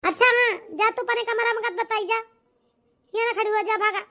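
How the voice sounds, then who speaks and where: Woman as Poonam, a shrill, harsh Awadhi daughter. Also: steady tone, fairly quick. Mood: angry, contemptuous. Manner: sharp, bossy, contemptuous. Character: Poonam, harsh, scolding, ungrateful grown daughter. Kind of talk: amateur cartoon comedy-drama acting.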